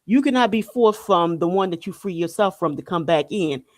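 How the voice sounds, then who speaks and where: A woman's voice: fairly low pitch, expressive, moderate pace, dry recording. Emphatic woman, dry close sound. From Haiti. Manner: emphatic, repetitive, punctuating with rhetorical questions and "okay?". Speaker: podcast host. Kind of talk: spiritual and political monologue, informal talk.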